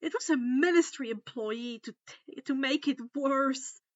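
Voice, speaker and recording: A woman reading a story aloud as a dramatic narrator, expressive and stretching words for effect. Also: sits high, unhurried. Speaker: fan fiction narrator. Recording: dull, echoing home recording.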